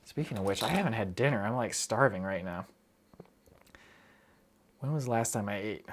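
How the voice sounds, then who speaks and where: A young man chatting casually on a live stream, rambling quickly and breaking off his own sentences as he answers viewers. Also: fairly low pitch, animated. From United States. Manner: rambling, quick, self-interrupting with fillers and laughs.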